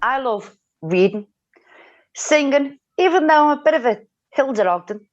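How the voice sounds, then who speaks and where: A homely, chatty working woman, played by an actress, fairly high in pitch. She has a sharp grumbling streak and comes through slightly muffled on a call. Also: animated, normal speed. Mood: enthusiastic. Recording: dull online call audio.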